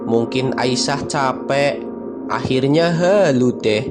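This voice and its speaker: Man as laid-back father, unhurried, mid pitch. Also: natural voice. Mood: relaxed. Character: father, easygoing family man. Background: music.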